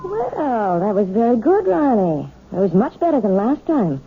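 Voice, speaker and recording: A husky, nervous piano teacher. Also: female voice, a little high, very animated, normal speed, heavily acted. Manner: hesitant, evasive, softly reproving. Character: fearful, gentle adult woman piano teacher. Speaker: actress in a radio drama. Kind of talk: 1970s radio acting. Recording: tinny, phone-like old radio recording.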